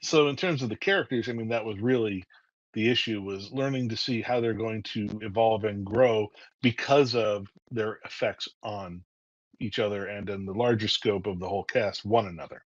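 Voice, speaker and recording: A man's voice: on the low side, normal speed, no echo. Male guest writer, articulate and methodical; dry, slightly muffled call audio. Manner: articulate, methodical, asks himself rhetorical questions. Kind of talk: podcast interview.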